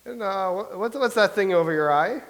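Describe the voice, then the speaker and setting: Brief: actor as puzzled, hesitant coffee shop man, lightly raised pitch. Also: lively intonation, moderate pace, a bit bright. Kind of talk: staged reading.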